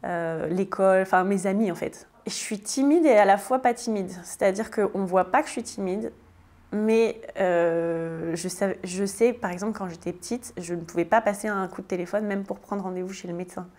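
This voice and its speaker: A woman's voice: mid-range voice, normal speed, a little mellow. A French woman in her thirties answering interview questions casually, with many hesitations and restarts. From France. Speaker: guest, tiny house builder.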